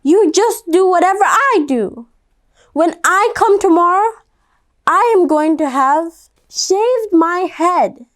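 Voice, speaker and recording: Woman acting a defiant young girl, high-pitched, slow emphatic words, stubborn, storybook character. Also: expressive, exaggerated voice. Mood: angry, yelling. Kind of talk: children's picture book read-aloud.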